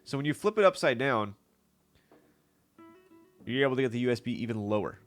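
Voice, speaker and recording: Stuffy-nosed male streamer at mid pitch with faint music behind him, relaxed and chatty with his viewers. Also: brisk. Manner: chatty, greets viewers, laughs often. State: congested, stuffy nose. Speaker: live streamer building a keyboard. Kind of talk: casual live-stream chat.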